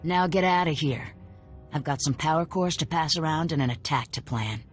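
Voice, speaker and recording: An actress playing a shrewd, tough rebel cell leader in a video game, sardonic and businesslike. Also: female voice, low-pitched, moderate pace, soft background music, natural voice.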